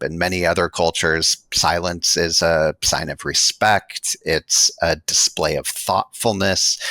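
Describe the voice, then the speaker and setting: A man's voice: low voice, creaky, sharp tone, dry recording. An American man, a guest author in an interview, explaining his ideas in a reflective way. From United States. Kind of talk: interview conversation.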